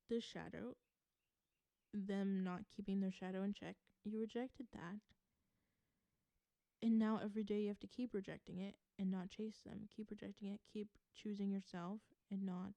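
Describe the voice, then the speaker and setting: Woman, from the South, tarot reader, earnest advising, repeats herself for emphasis, mellow tone, dry sound. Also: medium pitch, creaky, no room sound. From Southern United States. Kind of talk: intuitive pick-a-pile tarot reading to viewers.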